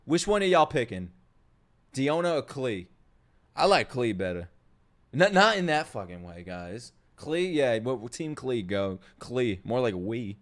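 A man's voice: medium pitch, big pitch swings, unhurried. A man streaming a casual live reaction, slangy, sweary and exclamatory. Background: game trailer music.

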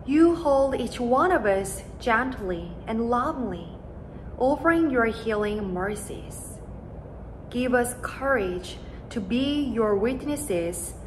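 Slow solemn young woman pastor leading prayer. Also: a little high, a little hiss or hum. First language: Korean. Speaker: associate pastor. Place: church sanctuary, standing at a pulpit.

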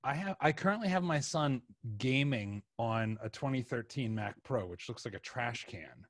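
A man's voice: medium pitch, animated, moderate pace, no echo. Man chatting, close dry mic. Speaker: podcast host. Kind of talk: casual chat. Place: small room with sofa, indoors. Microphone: desk microphone close up, headset.

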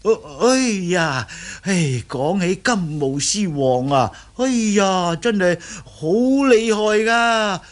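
A cringing young disciple in a radio play. His voice is high and slow, full of stammers and exclamations. He boasts and grovels in the same breath. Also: lively intonation. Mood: boastful, fawning. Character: servile, boastful, cowardly young Shaolin disciple man. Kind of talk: Cantonese radio drama acting.

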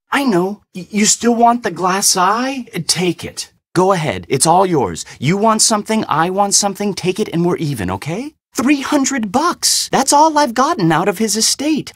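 A whiny, anxious young slacker from a video game. His casual drawl swings up and down in pitch and turns defensive and pleading, with a slightly husky edge and a close, dry sound. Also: wildly swooping pitch, moderate pace, few pauses, fairly bright tone, no room sound.

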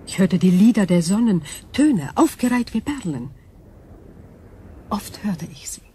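A woman playing an ancient alien cosmic intelligence in a science fiction radio drama, calm, analytical and formal, with a measured, detached delivery. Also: medium pitch, slight background noise, close to an everyday voice. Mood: solemn, wistful.

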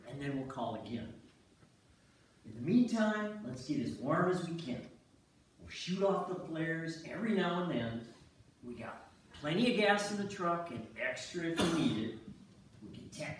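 A man acts out a calm, take-charge leader, speaking slowly and steadily. He is far from the mic in a very echoey hall. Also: a little high, a little hiss or hum. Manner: steady, reassuring. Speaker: amateur reader's theater performer. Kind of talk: staged play reading. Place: church sanctuary stage before an audience. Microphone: far audience camera. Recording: distant, very echoey church hall.

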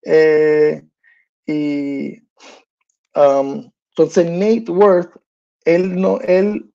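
A man's voice: a little high, gravelly, dark tone, dull audio. A man in his thirties from New Jersey, hosting a solo sports podcast in a loose, chatty way and slipping English slang into his talk. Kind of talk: casual solo sports commentary. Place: small room indoors.

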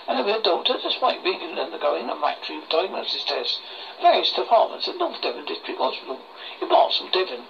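A horror host reading a scripted story aloud in a halting way, stumbling over words, on a muffled lo-fi recording. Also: mid-range voice, vocal fry, a bit bright. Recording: muffled lo-fi recording with faint noise.

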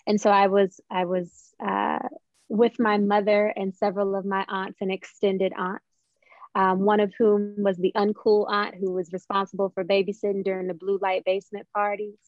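A woman's voice: medium pitch, little pitch movement, normal speed, no room sound, faint music behind. Young woman, guest writer, reflective storyteller, thoughtful phrasing, dry and slightly dull call audio. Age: young adult. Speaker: guest playwright and poet. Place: small room at home, on a video call.

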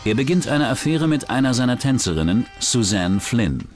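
A man's voice: medium pitch, big pitch swings, normal speed, music in the background. A man reading scripted true-crime narration as the narrator of a documentary.

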